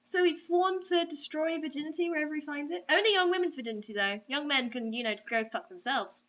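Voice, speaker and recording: Very high-pitched, theatrical woman reading stories. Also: fast, fairly bright tone. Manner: theatrical, sarcastic. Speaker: host reading bad online fiction aloud. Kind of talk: dramatic story reading with mocking asides. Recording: dull lo-fi recording.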